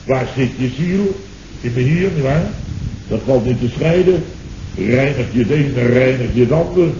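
A man's voice: medium pitch, animated, moderate pace, echoey room, highs cut off, close to an everyday voice. Man acting a blustering boss, noisy old radio recording. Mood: indignant. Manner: exclamatory, indignant, repeats himself. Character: blustering, indignant boss recounting his misadventures. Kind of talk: 1970s radio comedy acting.

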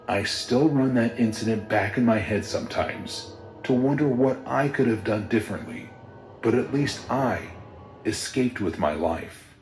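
A man reading a first-person horror story in a steady, measured storytelling voice. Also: mid-range voice, a little hiss or hum. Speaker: narrator. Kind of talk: scripted horror story narration. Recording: roomy sound with music underneath.

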